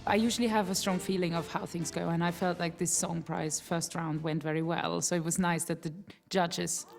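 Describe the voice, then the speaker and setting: A woman singer from Austria, reflecting in a lively way in an interview clip. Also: fairly low pitch, moderate pace, gravelly. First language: German. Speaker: singer, competition finalist. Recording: loud music under voice.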